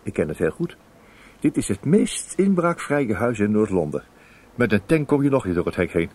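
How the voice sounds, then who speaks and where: An actor playing a courteous, quietly sly government investigator in a radio play, with a husky voice and a hesitant, musing way of questioning. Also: male voice, lowish voice, very animated, normal speed, slight background noise. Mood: musing, suspicious. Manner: hesitant, polite, musing, repeats himself. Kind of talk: Dutch radio drama acting.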